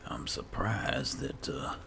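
A man casually narrating roleplay gameplay in character, muttering in a low-key way and trailing off. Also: takes it slowly, noisy background. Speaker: gamer playing in character.

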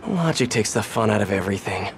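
An actor playing a young, upbeat, self-deprecating superhero who chats and quips in a video game. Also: male voice, lowish voice, animated, fast talker, vocal fry, a little hiss or hum. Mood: musing, exasperated. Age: young adult. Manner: chatty, quippy.

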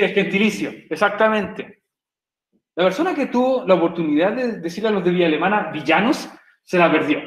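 A man's voice: high-pitched, roomy sound, poor audio. A man from Chile teaching an English class online in Chilean Spanish, chatty and jokey, often checking in with his students. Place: home room on a video call.

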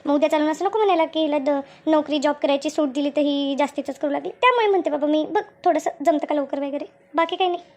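A woman voicing a bossy, sly elder sister-in-law in a cartoon family drama, brisk and repetitive as she instructs. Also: high-pitched, darkish tone. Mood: bossy, coaxing. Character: bossy, two-faced elder sister-in-law running the kitchen.

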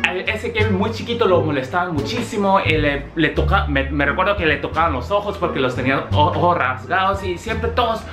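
Fast young man, Guatemalan accent, roomy sound. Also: high voice, expressive. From Guatemala, of Korean parents. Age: young adult. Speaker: vlogger. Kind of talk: casual storytelling to camera. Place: small room indoors. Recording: roomy indoor echo. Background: music.

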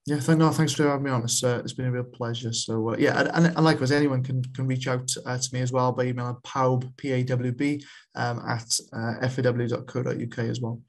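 A man in his thirties speaks over a headset on a video call. His voice is gravelly with a very flat, level delivery. He works through answers with lots of fillers and restarts. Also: medium pitch, a little fast. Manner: hesitant, many fillers and restarts. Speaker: guest, equality and inclusion lead at a football association. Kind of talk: webinar interview answers. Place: home room on a video call.

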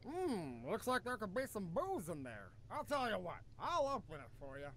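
A man's voice: sits high, wildly swooping pitch, moderate pace, a bit bright, slight background noise, heavily acted. A man voicing a drunk, surly, foul-mouthed cartoon parrot in a game, squawky, slurred and belligerent. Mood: cocky.

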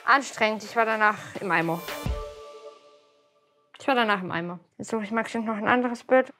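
A woman's voice: medium pitch, a little fast, pushed and bright, faint music behind. A woman in her twenties answering interview questions in her apartment, blunt and offhand. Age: 20s. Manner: blunt, offhand, matter-of-fact. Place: small apartment indoors.

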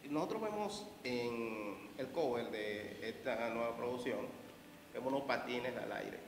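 A man's voice: mid-range voice, unhurried. A middle-aged man hosting an entertainment interview, asking plain questions and repeating words as he stalls. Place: indoor event room with reverb. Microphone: handheld mic. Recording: echoey room with faint noise.

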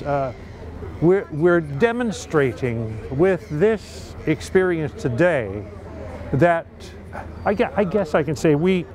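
Older guy hosting outdoors, fervent preachy tone, crowd chatter all around, voice sits a bit high. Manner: fervent, sermon-like enthusiasm. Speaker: host. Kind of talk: travel documentary presenting. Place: outdoors on a hillside and a harbor pier.

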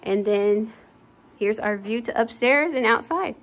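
Young woman, kinda high voice, talks slow and easy, lots of ums, phone vlog in an empty house with faint hum behind. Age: young adult. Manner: relaxed, chatty, with frequent ums. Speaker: home vlogger. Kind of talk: casual house tour vlog. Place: empty house, walking room to room. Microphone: phone camera held while walking.

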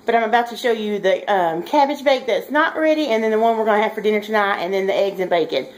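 A woman's voice: a little high, low-quality recording. Chatty woman vlogging at home. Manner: chatty, rambling, addressing viewers informally. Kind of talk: casual vlog chat to viewers. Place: home kitchen, indoors. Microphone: handheld camera or phone at arm's length.

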